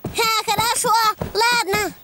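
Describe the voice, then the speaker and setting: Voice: very high-pitched, steady tone, takes it slowly, very noisy. A performer voicing a cheerful, eager little boy donkey in a children's cartoon, with playful exclamations. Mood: excited, playful. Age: child character. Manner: exclamatory, playful, full of little effort noises. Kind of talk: cartoon dubbing. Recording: music behind.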